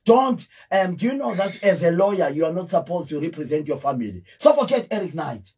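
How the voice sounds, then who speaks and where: A man's voice: high-pitched, sing-song, fairly quick, a little mellow, breathy edge. A middle-aged man hosting a radio interview from a small studio, teasing his guest and repeating words for emphasis. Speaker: radio show host. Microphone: studio mic with headphones.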